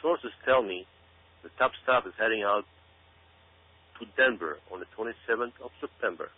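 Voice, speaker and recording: A man's voice: on the low side, moderate pace, husky. Man giving emphatic commentary, phone-like sound. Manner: emphatic, dramatic, repeats himself for effect. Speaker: guest commentator. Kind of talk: commentary monologue addressed to listeners. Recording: tinny phone-like radio sound with hum.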